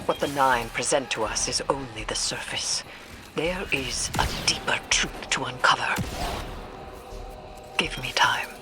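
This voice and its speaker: A stern, steadfast mystic woman from a video game. She speaks slowly and formally, with portentous, often breathy weight. Music swells behind her. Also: a bass voice, in a whisper. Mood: solemn, cryptic. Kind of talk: video game cutscene acting.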